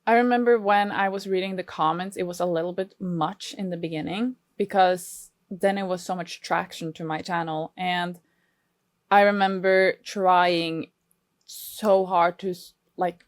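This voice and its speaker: A young Norwegian woman with a Norwegian accent and a lowish voice for a woman. She talks in an earnest, reflective way. The sound is close and dry, with no room around it. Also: no room sound. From Norway. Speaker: guest. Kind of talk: casual podcast interview.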